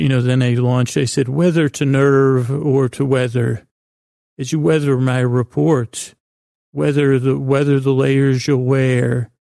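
A man with a creaky voice hosting a sleep podcast, rambling in a halting, meandering way and often stumbling over his words. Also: mid-range voice, mellow, no echo, studio quality. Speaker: podcast host. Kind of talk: rambling bedtime-story sleep podcast monologue.

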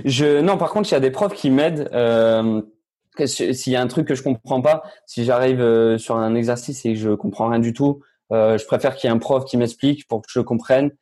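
Teenage male tennis player, mellow voice, halting speech full of fillers. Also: mid-range voice. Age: teens. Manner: hesitant, many fillers and restarts. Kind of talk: casual interview answers.

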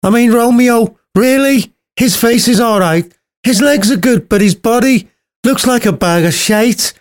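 A man's voice: very high voice, unhurried, pitched above the performer's own voice. A man voicing a bossy, crude old woman nurse in a storybook retelling, snapping and threatening. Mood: snappish.

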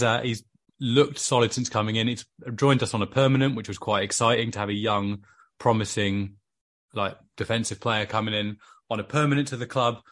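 A Welsh man chatting casually about his football club on a podcast, measured and full of fillers. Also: lowish voice, fairly bright tone. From Wales.

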